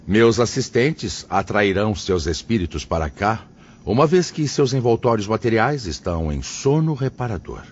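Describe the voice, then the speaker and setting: Male actor for venerable Brazilian spirit mentor: very low, expressive, paternal, formal; muffled audio drama recording. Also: normal speed. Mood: solemn. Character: venerable kindly male spirit master, gentle and solemn. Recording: muffled, noticeable background hiss.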